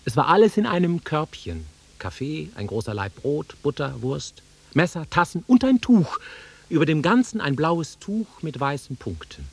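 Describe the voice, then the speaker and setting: An actor playing a nostalgic, easily offended middle-aged man with a husky voice in a naturalistic radio drama. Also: on the high side, big pitch swings, normal speed, a little mellow, heavily acted. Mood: wistful. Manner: wistful, insistent, easily offended. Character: nostalgic, touchy middle-aged man revisiting his childhood fields.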